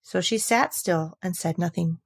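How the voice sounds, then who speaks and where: A woman's voice: fairly low pitch, a little slow, gravelly. A woman narrating a children's classic, reading aloud expressively as a storybook narrator. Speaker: audiobook narrator.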